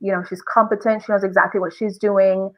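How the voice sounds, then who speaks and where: An expressive woman with a mid-range voice, speaking as a guest in a casual podcast interview. She sounds enthusiastic and conversational. The audio is dull and lo-fi, like an online call. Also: mid-range voice, a little fast.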